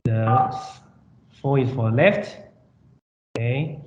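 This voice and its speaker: A man giving a live product demonstration in halting, simple non-native English, often repeating himself. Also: mid-range voice. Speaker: product presenter. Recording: roomy echo, dull sound, faint noise.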